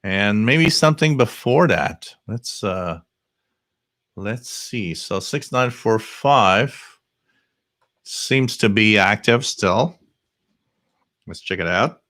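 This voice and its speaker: A man's voice: fairly low pitch, expressive, takes it slowly, pauses often, a bit bright, no room sound, clean studio sound. A man hosting a live shortwave radio stream, joking, laughing often and reading out frequencies. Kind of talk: casual live-stream chat.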